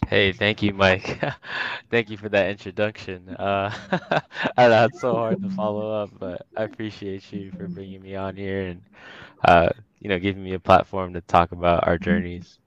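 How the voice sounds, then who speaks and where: A man's voice: sits low, level tone, normal speed, clear, resonant tone, no room sound, highs cut off. A young man from the Washington, D.C. area, a guest rapper talking casually in a podcast interview, laid-back, with frequent laughs and fillers. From Washington, D.C. area, USA.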